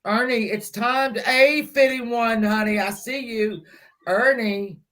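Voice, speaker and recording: A woman's voice: on the high side, slow, a bit bright. A woman hosting a casual group livestream chat, effusive and affectionate yet blunt, with some swearing. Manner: affectionate, effusive, terms of endearment. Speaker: live stream host.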